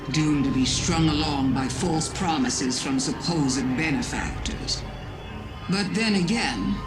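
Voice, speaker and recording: A sly trapped witch goddess from a game, really slow n deliberate, echoey, loud music behind. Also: sits low. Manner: slow, deliberate, mock-sympathetic. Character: imprisoned cunning witch deity, sly and patient. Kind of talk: video game character monologue. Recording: echoing voice over loud music.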